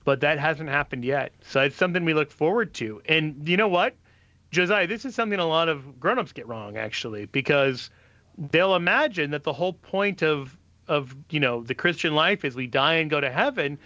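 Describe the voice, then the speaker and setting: Bright-voiced man explaining faith. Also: fairly high pitch, lively intonation, moderate pace, no echo, muffled recording. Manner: patient, explanatory, with fillers. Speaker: guest answering callers' questions on faith. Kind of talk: call-in radio talk, explaining.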